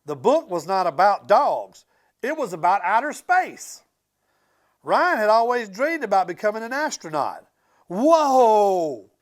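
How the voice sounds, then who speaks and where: Animated man reading a children's story aloud. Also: high-pitched, normal speed. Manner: expressive, emphatic storytelling. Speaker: school transportation director reading aloud to students. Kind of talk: animated picture-book read-aloud with casual asides to children.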